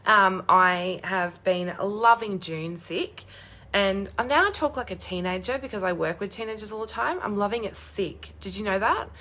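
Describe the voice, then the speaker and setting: A young woman with a hoarse, croaky voice chatting bubbly on a live stream, greeting viewers by name. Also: mid-range voice, expressive, moderate pace, sharp tone. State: hoarse, losing her voice. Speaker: crafting demonstrator hosting a live stream.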